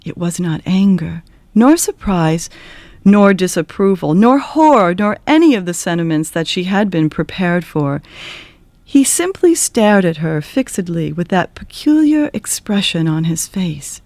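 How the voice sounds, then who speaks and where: A woman narrating a classic story in an expressive, measured and dramatic scripted style. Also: on the low side, no echo. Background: acoustic guitar music.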